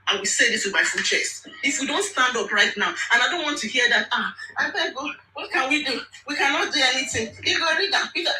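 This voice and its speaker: Fiery Nigerian woman, bright and roomy. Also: high voice, expressive, fairly quick, hardly any pauses. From Lagos, Nigeria. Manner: emphatic, indignant, repeats words for force. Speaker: speaker in an online audio space. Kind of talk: impassioned political rant. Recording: roomy, distant mic with faint noise.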